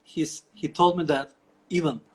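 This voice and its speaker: A man's voice: a little high, steady tone, measured pace. A middle-aged man with a Turkish accent, answering interview questions over a video call, with many fillers and restarts. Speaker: guest. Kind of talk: online interview.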